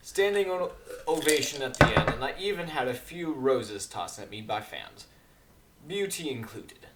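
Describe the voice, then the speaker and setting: A courteous, reserved gentleman stallion, played by a man, slightly formal in his wording. His intonation rises and falls freely in a fan storybook reading. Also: medium pitch, animated, normal speed, very noisy, natural voice. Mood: pleased. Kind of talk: amateur dramatic fan fiction reading.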